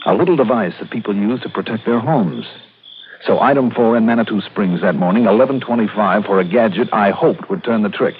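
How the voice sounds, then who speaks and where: An American actor playing a shrewd, hard-boiled investigator in a radio drama, with clipped, dramatic, wry delivery. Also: male voice, on the low side, a little fast, slight background noise, close to an everyday voice. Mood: brooding, wry. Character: shrewd, hard-boiled male insurance investigator narrating his case. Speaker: lead actor and star of the radio series. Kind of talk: 1960s radio detective drama acting. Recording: tinny old radio broadcast, music behind.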